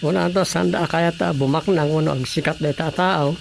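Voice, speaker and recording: A man's voice: fairly high pitch, steady tone, normal speed. An actor playing a talkative, opinionated man chatting about the news in a radio drama comedy. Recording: clear background noise and ambience.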